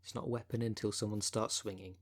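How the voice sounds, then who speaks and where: Casting: man to play necromancer fight coach for ASMR audio roleplay, lowish male voice, wry and caring, casual and colloquial delivery. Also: little pitch movement, quick pace, lightly acted. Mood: wry, reassuring. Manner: chatty, colloquial, wry, consoling.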